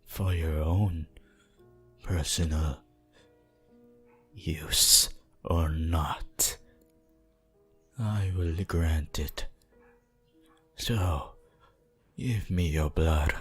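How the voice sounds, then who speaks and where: A man playing a weakened, bloodthirsty vampire in an ASMR roleplay, pleading and menacing in a theatrical, archaic way, with a husky voice that often drops to a whisper. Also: a bass voice, fairly even intonation, faint music behind, heavily acted. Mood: devoted begging. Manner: slow, halting, archaic and dramatic delivery.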